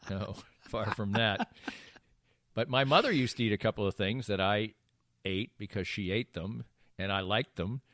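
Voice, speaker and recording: A man's voice: fairly low pitch, normal speed, a bit bright, no echo, studio quality. A middle-aged American man in casual podcast banter, telling anecdotes in a rambling way. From Massachusetts, USA. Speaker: podcast co-host.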